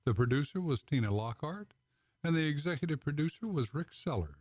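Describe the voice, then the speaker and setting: A man reading the show's credits as announcer, plain and steady. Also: on the high side, a little fast, dry recording, studio quality.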